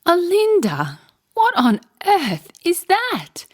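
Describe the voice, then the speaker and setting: A woman voicing a mocking, giggly young bird sister in a storybook, with teasing, drawn-out exclamations. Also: extremely high pitch, huge pitch swings, pitched above the performer's own voice, heavily acted. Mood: mocking, amused.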